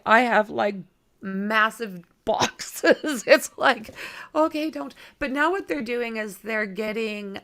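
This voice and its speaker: A woman with a creaky voice hosting a true crime livestream, reading aloud and chatting informally with viewers as she thinks aloud. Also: on the high side, a bit bright. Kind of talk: reading documents aloud with casual asides to viewers.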